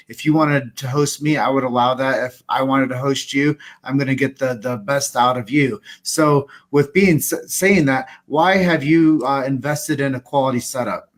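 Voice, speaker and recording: A man's voice: medium pitch, fairly even intonation, moderate pace, few pauses. Promotional male podcast host. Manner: promotional, addresses viewers directly. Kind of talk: interview hosting over video call. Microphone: desktop USB podcast mic.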